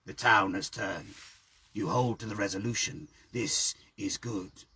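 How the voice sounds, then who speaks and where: Ancient storybook elder, played by a male reader: croaky, slow, ominous, solemn. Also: on the low side, fairly even intonation, sharp tone, full character voice. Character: croaking, very old bearded man, slow and ominous.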